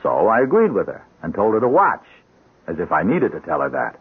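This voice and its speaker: An actor playing a loyal, plain-spoken police officer in a radio drama, with a husky voice. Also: male voice, sits low, huge pitch swings, a little fast, heavily acted. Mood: wry, reassuring. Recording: tinny band-limited radio recording.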